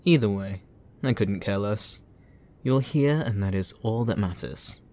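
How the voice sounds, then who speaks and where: A man playing a gloating, obsessive supervillain in a roleplay ASMR audio drama, teasing and possessive, with a theatrical, drawn-out delivery. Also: on the low side, dark tone, lightly acted. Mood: smug, menacing.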